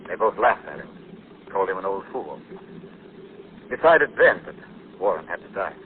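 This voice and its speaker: An actor playing an assured, knowing gentleman detective who explains things calmly, in 1940s radio drama style. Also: low voice, normal speed. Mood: calm, explaining. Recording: tinny, hissy old 1940s radio recording.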